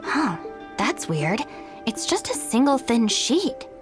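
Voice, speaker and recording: A woman's voice: on the high side, sing-song, slow. A woman voicing a guarded, pragmatic young woman in a video game, speaking in a dry, matter-of-fact way. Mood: dry, puzzled. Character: young woman, guarded, pragmatic, no-nonsense masked gang deputy. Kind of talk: video game character acting. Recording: background music under the voice. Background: music.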